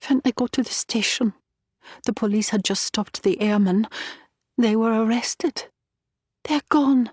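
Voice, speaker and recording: A woman's voice: fairly high pitch, measured pace, long pauses, darkish tone, dry recording, voice pushed up. A woman voicing an anxious, pleading young woman, a wartime resistance courier in an audiobook, with a pinched, urgent delivery. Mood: distressed.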